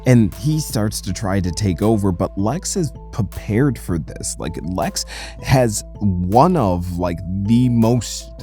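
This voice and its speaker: A man hosting a casual commentary recap, telling the story in an animated, conversational way. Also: lowish voice. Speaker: comics recap host. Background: music.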